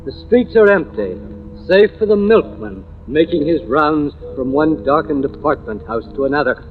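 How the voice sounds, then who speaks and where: An actor playing a theatrical, deliberate narrator who sets suspenseful scenes in an old radio drama. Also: male voice, a little high, animated, narrow, tinny audio, a little hiss or hum, natural voice. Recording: thin old radio recording, faint music.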